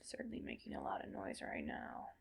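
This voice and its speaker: A woman playing a gentle, weary cyborg soldier in a whispered ASMR roleplay, speaking breathily in slow, halting, soothing phrases. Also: natural voice. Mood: gentle, reassuring.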